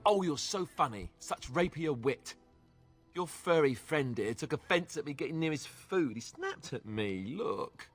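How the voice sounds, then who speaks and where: A man's voice: a little high, lively intonation, measured pace, faint music behind. An actor playing a wry, sarcastic young male warrior in a fantasy video game. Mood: sarcastic, indignant.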